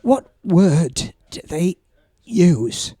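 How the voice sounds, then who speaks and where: A man in his seventies with a Welsh accent and a croaky voice, telling stories casually as a guest, rambling with lots of fillers. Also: sits high, very animated, dark tone, dry recording. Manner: rambling, halting, with many fillers. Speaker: guest surfer. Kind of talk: casual storytelling.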